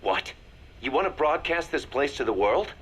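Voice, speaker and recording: An actor playing a serious military second-in-command in a video game, briefing in a businesslike, measured manner. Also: male voice, fairly high pitch, big pitch swings, fairly bright tone, husky, full character voice. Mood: displeased.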